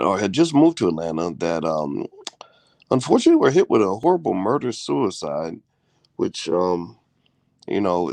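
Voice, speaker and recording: Groggy deep-voiced male host. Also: darkish tone, no room sound. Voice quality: groggy morning voice. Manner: loose and chatty, many fillers and restarts. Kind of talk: casual live-stream chat, reading a news story. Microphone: close mic at home, in bed.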